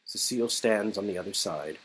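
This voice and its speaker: A man's voice: lowish voice, a little fast, fairly bright tone, slight background noise, poor audio. A man reading a story game aloud in a casual, wry way, mixing dramatic narration with informal banter. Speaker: reader performing a story game.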